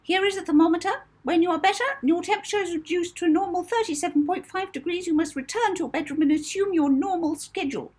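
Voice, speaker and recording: A woman's voice: very high voice, quick pace, few pauses, darkish tone, pitched above the performer's own voice. A woman voicing a strict older orphanage mistress in a children's audiobook, with imperious, clipped scolding. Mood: stern scolding.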